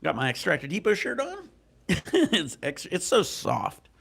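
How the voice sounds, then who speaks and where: A man's voice: sits high, huge pitch swings, unhurried, vocal fry, fairly bright tone, husky. A man with a stuffy, congested nose, livestreaming outdoors on a cruise ship at night through a handheld mic, chatting in a laid-back, profane, joking way. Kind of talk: casual live stream chat. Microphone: handheld microphone.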